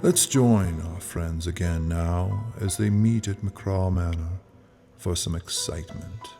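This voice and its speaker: A man's voice: deep voice, dark tone, soft background music. A man playing a sly, theatrical narrator in an old-time radio mystery. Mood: sly, ominous. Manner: slow, theatrical.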